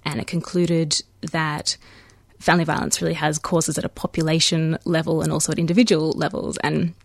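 An Australian woman in her thirties, a guest on a community radio show, explaining at length in a measured way with frequent ums. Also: sits low, flat delivery, creaky, dry recording, clean studio sound. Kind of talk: radio interview. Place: radio studio.

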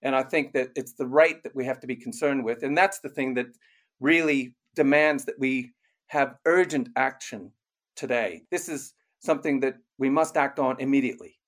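An older man raised in Canada, an author and criminologist, giving measured, explanatory interview answers. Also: mid-range voice.

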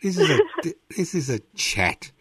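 A man's voice: on the high side, very animated, unhurried, fairly bright tone, breathy edge, dry recording. A man, hosting a casual radio interview in a playful, teasing way. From Australia. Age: 60s. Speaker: radio host.

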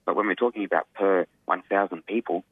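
A man, an activist guest speaking earnestly in a radio interview, his voice croaky. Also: sits low, quick pace, no echo. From Australia. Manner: earnest, emphatic, frequent "you know" fillers. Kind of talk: radio interview over phone. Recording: tinny phone line, dry.

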